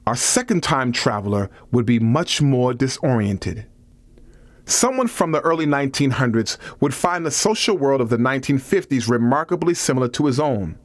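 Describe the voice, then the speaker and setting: A man's voice: medium pitch, no echo. A man reading a nonfiction audiobook as a scripted narration.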